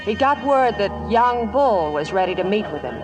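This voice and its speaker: A man's voice: high-pitched, lively intonation, moderate pace, over music. A man narrating a radio drama in a dramatic, scripted style.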